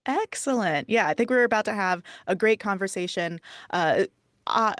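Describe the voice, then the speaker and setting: An upbeat woman moderates a live online panel and welcomes each guest in a friendly way. She sounds close and dry on the mic, the way a video call does, and her pitch sits in the middle. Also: lively intonation, normal speed, a bit bright, no room sound. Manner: upbeat, friendly, laughs easily.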